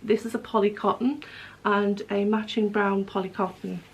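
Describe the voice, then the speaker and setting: Woman, hoarse from a cold, gravelly, croaky, rambling with ums, casual home vlog. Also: mid-range voice, flat delivery, moderate pace, rough recording. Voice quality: croaky, husky. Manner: rambling, self-correcting, many ums. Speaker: knitting vlogger. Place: home living room with wood stove.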